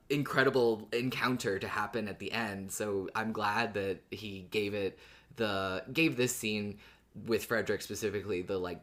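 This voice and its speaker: Casting: male podcast host, lower-pitched voice, casual conversational recap style. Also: fairly bright tone.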